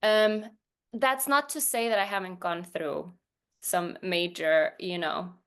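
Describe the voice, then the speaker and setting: A woman's voice: on the low side, steady tone, slow pace, fairly bright tone, clean tone. A woman from Iceland, a non-native English speaker, talking casually in an interview with hesitant phrasing and frequent restarts. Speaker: podcast guest, professional dancer.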